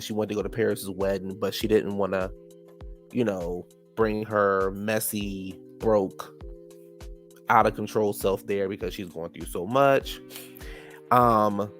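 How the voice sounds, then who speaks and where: A man with a low, gravelly voice talks through a reality TV recap in a sassy, gossipy way. His nose is stuffed up. Faint music plays behind him. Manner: sassy and chatty, calls people "child" and "honey". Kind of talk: casual recap commentary.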